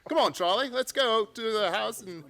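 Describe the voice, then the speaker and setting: A man's voice: sits high, moderate pace, pushed and bright, voice pushed up. A man voicing a breezy, slangy working-class stable hand in a radio play. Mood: breezy, matey. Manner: casual, matey, slangy.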